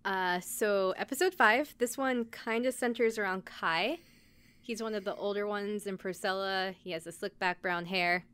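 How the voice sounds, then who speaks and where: A woman's voice: medium pitch, moderate pace, a bit bright, clear, resonant tone. A woman podcast host giving a casual, chatty recap, speaking conversationally with lots of filler "like". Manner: conversational, peppered with "like".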